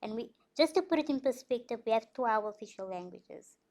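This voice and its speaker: A woman's voice: on the high side, animated, fairly quick, darkish tone. A woman from South Africa, a dance artist, speaking earnestly on a panel and laughing between thoughts. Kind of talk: panel discussion.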